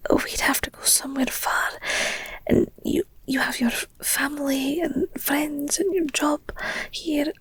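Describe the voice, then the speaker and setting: A woman playing a devoted, guilt-ridden werewolf girlfriend in an intimate audio roleplay, speaking earnestly and haltingly in a breathy whisper. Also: high voice, fairly even intonation, no room sound. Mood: anguished, protective. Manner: hesitant, halting, earnest, tender.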